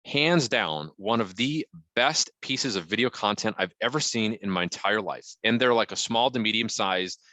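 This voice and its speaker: Booming, bright low-voiced man. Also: lively intonation, normal speed, hardly any pauses, no room sound. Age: middle-aged. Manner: emphatic, punchy, frequently checking in with "right?". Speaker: guest marketing consultant. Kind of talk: conversational business advice to an online group.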